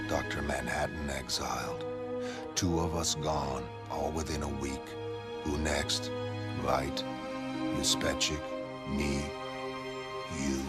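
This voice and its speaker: Very deep, grim masked vigilante. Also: male voice. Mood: ominous. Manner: terse, clipped fragments. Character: grim masked vigilante, clipped low growling menace. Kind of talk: motion comic dramatic acting.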